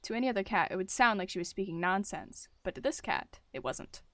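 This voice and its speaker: Girl narrating an animal roleplay story, mid pitched, no room echo at all. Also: lively intonation, a little fast, a bit bright.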